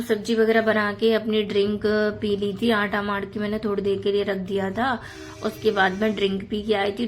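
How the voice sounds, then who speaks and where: A woman in her thirties with a hoarse, sore throat, chatting casually to viewers about her housework in a voice-over. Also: mid-range voice, a little hiss or hum, poor audio. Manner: chatty, many fillers, addresses viewers as friends. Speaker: home vlogger.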